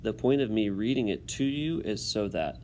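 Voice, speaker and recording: A man teaching in an instructional video, reading a text aloud and pausing to give clear directions and check understanding. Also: fairly low pitch, expressive, warm tone, slight background noise.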